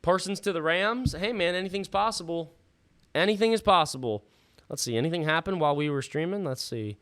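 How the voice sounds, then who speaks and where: A man hosting a live fantasy football stream in a casual, slangy, sweary way, thinking out loud, his voice starting to give out. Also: on the high side, fairly quick. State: losing his voice. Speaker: livestream host. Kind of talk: casual live fantasy football draft commentary with chat shout-outs.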